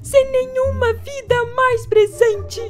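A woman's voice: very high voice, steady tone, slow. A woman voicing a gushing, theatrical art teacher in an amateur cartoon fan dub. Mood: dramatic. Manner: theatrical, effusive. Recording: dubbed track with music underneath.

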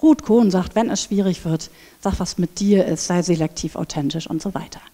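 A woman in her thirties on a headset mic, giving a lively conference talk and acting out inner voices. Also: lowish voice, normal speed. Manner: animated, acts out inner voices. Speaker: speaker at a conference. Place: large brick hall with seated audience. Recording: reverberant hall.

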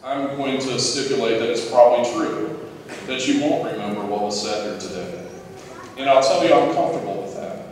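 A man's voice: mid-range voice, darkish tone. A middle-aged man giving a formal commencement address to graduates from a podium in a large hall. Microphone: podium microphone. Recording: echoey hall from far off, faint hiss.

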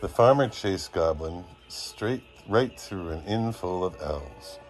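A man's voice: low-pitched, expressive, slow, faint music behind. A man in his thirties, a father, reading a storybook aloud expressively to children. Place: small dim bedroom, lying down beside children. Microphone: phone at arm's length.

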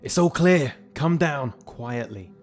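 An actor playing a gruff, impatient soldier leading survivors in a zombie audio drama, terse and commanding. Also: male voice, mid-range voice, lively intonation, slow pace, soft background music. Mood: urgent, commanding.

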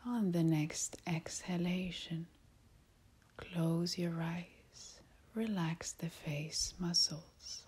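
A woman guides a meditation at a very slow pace, leaving long pauses between short phrases. Her voice is extremely breathy and half-whispered, sitting a little low. She sounds calm and soothing, like an instructor easing listeners into relaxation.

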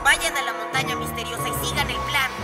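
A high, boyish cartoon villain played by a woman with a Latin American Spanish accent, over loud music. The young ninja gang leader is boastful and bossy, gloating as he gives orders. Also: fairly quick, lightly acted. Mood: commanding. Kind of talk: animated children's cartoon dubbing.

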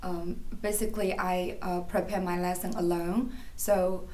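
A woman's voice: on the low side, flat delivery, measured pace, warm tone. A woman from China, an English teacher, speaking hesitantly with many fillers in a group interview. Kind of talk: group interview, casual chat. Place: bright room, indoors. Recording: roomy, from a distance.

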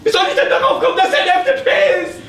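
A man's voice: extremely high pitch, rapid-fire, bright, forceful tone, a little hiss or hum. A man playing a hapless, flustered psychotherapist who stammers and pleads in a live comedy radio play. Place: small theatre stage. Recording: distant camera in echoey theatre.

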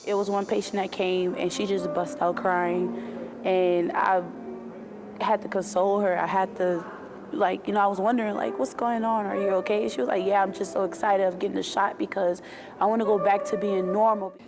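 A young woman speaks in an earnest, chatty way, her pitch staying flat. A gravelly fry runs through her voice. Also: normal speed. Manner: earnest, conversational storytelling. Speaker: patient representative. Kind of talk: interview.

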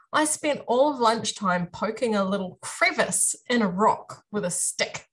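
A woman with a New Zealand accent speaks over a webcam from a room at home. She is a university lecturer telling students about her career. Her delivery is friendly, lively and self-deprecating. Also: mid-range voice. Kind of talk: online presentation to students. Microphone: webcam on a video call.

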